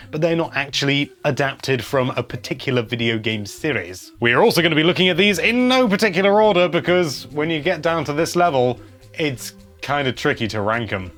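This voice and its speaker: Animated, wry man presenting a list video. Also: medium pitch. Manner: chatty, tongue-in-cheek, wry. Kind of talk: casual list-video commentary.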